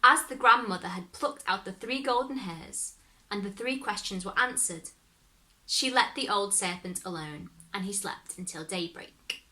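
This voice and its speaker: A woman reading a fairy tale aloud, expressive and animated. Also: mid-range voice, unhurried, rough recording. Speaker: storyteller.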